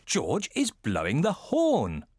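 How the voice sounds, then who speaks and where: A man narrating a children's cartoon in a gently amused, storyteller way. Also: a little high, wildly swooping pitch, slow pace, very noisy. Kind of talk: scripted narration.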